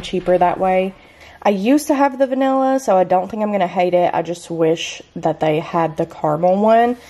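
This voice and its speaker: A young woman in her twenties chatting casually about her groceries in a home kitchen, bubbly and quick to laugh. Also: mid-range voice, warm tone. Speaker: lifestyle vlogger. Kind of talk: casual grocery haul chat.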